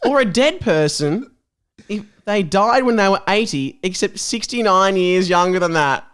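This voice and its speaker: A young man with a fairly high voice and very lively intonation. He reads a comic story aloud, then drops into casual banter to mock it, as a joking podcast guest. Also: moderate pace, clean tone. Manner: joking, mocking, often breaking into laughter.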